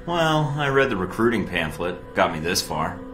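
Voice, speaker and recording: An actor playing a cocky, impatient young secret agent in a video game, speaking in a terse, dry, smug way. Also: male voice, low-pitched, very animated, moderate pace. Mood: curious, smug. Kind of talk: video game cutscene acting. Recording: roomy echo with music behind.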